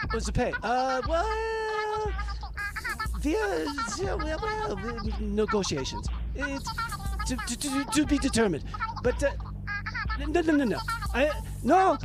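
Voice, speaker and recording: A man's voice: extremely high pitch, heavily acted. A man playing a hapless, boastful culinary student who poses as a French chef in comedy radio theater, pretentious and flustered, sprinkling in French phrases.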